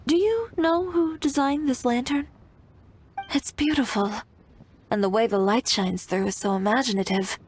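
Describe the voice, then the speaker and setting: An actress playing a poised, appreciative young woman designer in a video game, with polished, articulate delivery. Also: fairly high pitch. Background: music.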